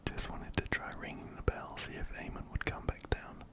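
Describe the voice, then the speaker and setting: A man hosting an ASMR game playthrough in a gentle, breathy whisper, very close to a binaural microphone. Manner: gentle, unhurried, soft-spoken. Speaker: ASMR gaming host. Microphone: close binaural microphone, ear to ear.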